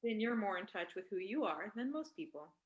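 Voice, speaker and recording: A woman playing an insistent doctor who questions a talk-show host in a staged play reading, in pointed, deliberate phrases. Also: mid-range voice, lively intonation, muffled recording, natural voice. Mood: probing. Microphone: video call microphone. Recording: echoey room on video call.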